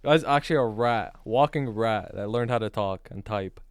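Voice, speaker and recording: A man's voice: lowish voice, moderate pace, clean tone, dry recording. A young man chatting casually as a streamer, blunt and sweary, with lots of slang. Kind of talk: casual chat.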